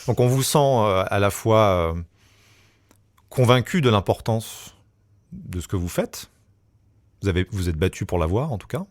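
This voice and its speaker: Low-voiced male podcast host. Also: expressive, unhurried, long pauses, no room sound. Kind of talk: podcast interview.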